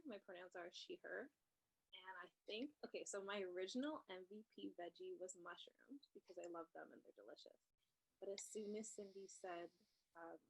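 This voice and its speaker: A woman sharing casually and relaxed in an online group check-in. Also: mid-range voice, creaky, rough recording. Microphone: video call.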